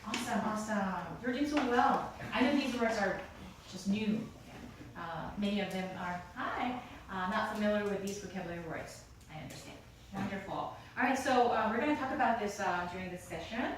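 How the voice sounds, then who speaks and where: A woman's voice: medium pitch, brisk, recorded from a distance, slight background noise. A woman teaching a class, encouraging and hesitant, with many fillers. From United States, East Coast. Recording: echoey classroom recorded from afar.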